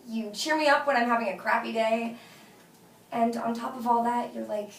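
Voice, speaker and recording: An actress playing an exasperated but fond woman gently turning down her best friend, in naturalistic, hesitant film dialogue. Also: fairly high pitch, fairly even intonation, moderate pace, creaky. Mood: gentle, apologetic. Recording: roomy, distant mic with faint noise.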